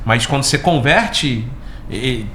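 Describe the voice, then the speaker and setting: Halting Brazilian man in an echoey room. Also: mid-range voice, expressive, bright, forceful tone. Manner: halting, repeats words as he searches. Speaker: podcast host. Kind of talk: casual chat. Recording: roomy echo, faint background noise.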